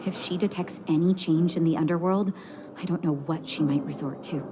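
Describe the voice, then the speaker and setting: An actress voicing a calm, caring leader of an underground resistance in a video game, measured and gracious. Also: female voice, lowish voice, background noise, lightly acted. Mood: grave, resolute. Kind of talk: video game cutscene dialogue. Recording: music playing behind the voice.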